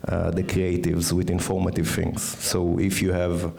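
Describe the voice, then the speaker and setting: A man on an industry panel giving brisk, confident opinions. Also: low-pitched, monotone, vocal fry, dark tone. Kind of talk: conference panel discussion.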